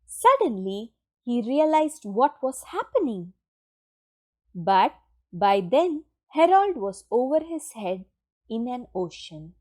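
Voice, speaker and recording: A woman reading a children's picture book aloud in an expressive, deliberate storytelling manner with dramatic pauses. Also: on the high side. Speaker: storybook reader.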